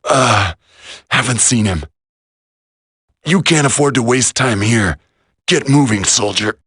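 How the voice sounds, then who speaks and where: A man voicing a gruff, wounded veteran soldier in a video game, strained and husky but stoic. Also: mid-range voice, slow pace, fairly bright tone, dry recording, clean studio sound. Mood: weary resolve. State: weak, strained from injury.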